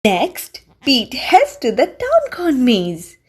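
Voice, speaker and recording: A woman reading a children's storybook aloud in an expressive, deliberate way meant for young listeners. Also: high voice, husky. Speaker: children's book reader.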